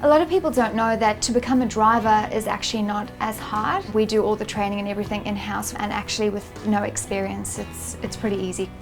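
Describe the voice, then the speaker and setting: A woman's voice: medium pitch, fairly even intonation, brisk, few pauses. Friendly hr lady explaining stuff, music bed behind. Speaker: HR and marketing staff. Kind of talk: interview soundbite for a promo video. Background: background music.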